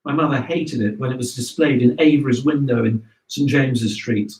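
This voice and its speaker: A man reading a scripted memoir aloud in a measured storytelling way. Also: mid-range voice, darkish tone. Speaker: reader of memoirs. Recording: roomy echo, distant microphone.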